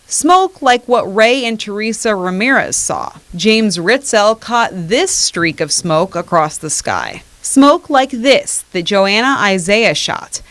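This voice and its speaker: Higher-voiced American woman TV reporter. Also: fairly bright tone. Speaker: TV news reporter. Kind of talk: broadcast news reporting.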